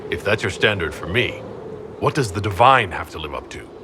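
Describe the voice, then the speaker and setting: Casting: plain-spoken game hero, low voice with expressive intonation, measured and sober. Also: male voice. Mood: questioning. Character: sober, questioning heroic male leader of an army. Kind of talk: dramatic video game acting. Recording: faint background ambience noise.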